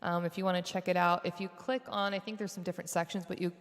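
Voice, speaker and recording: A woman hosting a music livestream from home, chatting casually between songs with a fairly low voice and plenty of fillers. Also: steady tone, quick pace. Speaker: singer and livestream host. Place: home studio set. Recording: echoey room with long reverb.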